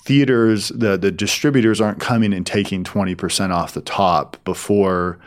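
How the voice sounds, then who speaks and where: A man with a very low voice answers questions as an interview guest. He explains things conversationally and haltingly, often repeating a few words before carrying on. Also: fairly even intonation. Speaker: interview guest, film studio executive.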